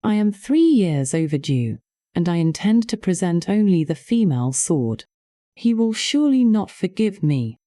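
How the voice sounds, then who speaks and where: A woman voicing a grave, doomed male swordsmith in storybook narration, solemn and deliberate. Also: lowish voice, big pitch swings, mellow, dry recording, clean studio sound.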